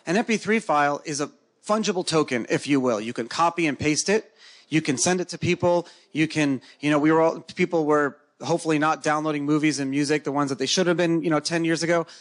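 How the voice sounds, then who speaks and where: A man whose first language is Hebrew, hosting a casual onstage interview at a conference with a handheld mic, talking in a joking, lively way. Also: on the high side, brisk. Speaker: podcast host. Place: conference stage before a live audience.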